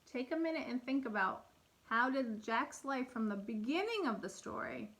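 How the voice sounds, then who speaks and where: A woman with a fairly high, very bright voice speaks as a primary school teacher. She is expressive and instructive, guiding young listeners with questions. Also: moderate pace. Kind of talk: read-aloud and lesson for young children.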